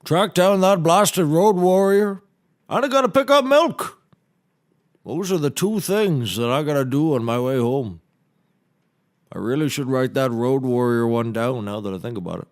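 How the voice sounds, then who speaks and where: A man's voice: medium pitch, lively intonation, normal speed, close to an everyday voice. A man playing a half-hearted handyman turned lawyer in a scripted audio comedy, matter-of-fact and dismissive. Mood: deadpan, dismissive.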